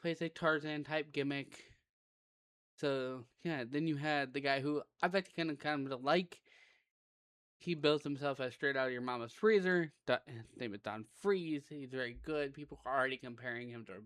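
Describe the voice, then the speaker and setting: A man with a raspy voice reviewing wrestling matches in a rambling, conversational way, often correcting himself mid-sentence. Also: mid-range voice, normal speed, fairly bright tone, dry recording. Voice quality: raspy right now. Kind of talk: casual solo show review.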